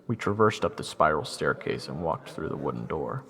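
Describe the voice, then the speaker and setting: A man's voice: a bass voice, lively intonation, moderate pace, gravelly, heavily acted. A man playing a weary, sarcastic young soldier who tells his story in a casual, exasperated way in an audio drama. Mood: wry, weary. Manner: wry, exasperated, casual first-person storytelling. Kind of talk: audio roleplay narration and dialogue.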